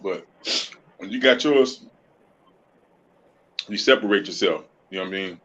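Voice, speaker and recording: A man in casual chat with a laid-back, drawn-out way of talking. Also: low-pitched, a bit bright. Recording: dull lo-fi line.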